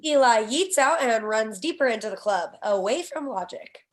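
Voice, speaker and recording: A woman's voice: on the high side, expressive, moderate pace. A woman reading out the stage directions of a comedy screenplay as scripted narration.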